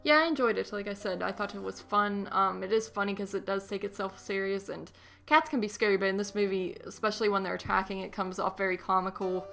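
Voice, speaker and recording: A woman's voice: mid-range voice, steady tone, fast, vocal fry, fairly bright tone, faint music behind. A woman co-hosting a movie podcast, chatting casually and informally with somewhat hesitant phrasing.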